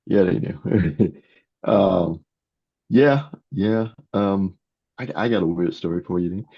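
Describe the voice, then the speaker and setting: An older man in his sixties or beyond, answering interview questions as a guest author in a halting way, often repeating words. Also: deep voice, breathy edge. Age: 60s or older. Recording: dull, muffled lo-fi sound.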